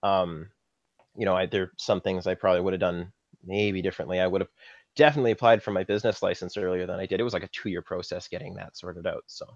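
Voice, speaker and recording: Canadian male podcast guest, lowish register, conversational, lo-fi recording. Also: steady tone, fast talker. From Saskatchewan, Canada. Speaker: guest, film props master. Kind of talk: casual podcast interview, recounting career. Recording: dull lo-fi audio, slightly roomy.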